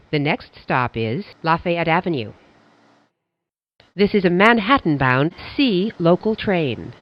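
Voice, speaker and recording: A subway announcer delivers formal, scripted transit announcements in clipped stock phrases. The pace is slow, yet the pitch moves in lively swings. The audio is slightly dull and lo-fi.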